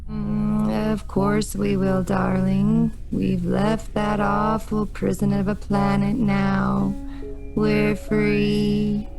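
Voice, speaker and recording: A woman playing an eerily composed, sinister lover in a sci-fi audio drama, speaking in drawn-out endearments. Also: medium pitch, completely flat delivery, creaky, music in the background. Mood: coolly seductive.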